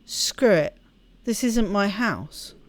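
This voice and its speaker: A woman's voice: mid-range voice, lively intonation, slow pace. Sardonic rebellious teenage girl voiced by a woman. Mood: resigned, defiant. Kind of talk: first-person story reading in a game.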